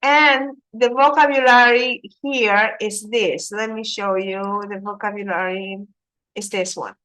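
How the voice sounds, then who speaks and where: A woman's voice: mid-range voice, steady tone, a little slow, fairly bright tone, poor audio. A woman teaching English, patiently prompting and correcting her student. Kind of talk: online English lesson.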